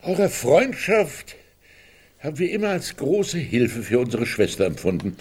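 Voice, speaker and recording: An actor playing a pragmatic, pedantic older man in a German radio drama, insistent, with a husky voice. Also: medium pitch, sing-song, a little slow, darkish tone. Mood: insistent, businesslike. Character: pragmatic, pedantic older brother pressing business matters.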